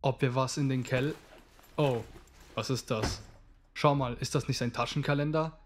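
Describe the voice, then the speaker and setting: A man's voice: mid-range voice, fairly even intonation, normal speed, slight background noise, close to an everyday voice. A man acting a young student in an audio drama, a teasing but concerned friend who talks colloquially. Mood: puzzled, uneasy. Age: young adult. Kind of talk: naturalistic audio drama dialogue.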